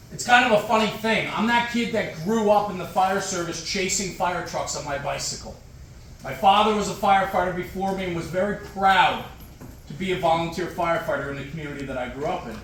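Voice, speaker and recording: Middle-aged man, high-pitched, punchy emphatic speech, booming hall echo, distant mic. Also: fairly bright tone, a little hiss or hum. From United States. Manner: emphatic, repetitive, punchy short phrases. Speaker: guest speaker at a fire department event. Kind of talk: motivational speech. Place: large echoey hall with an audience.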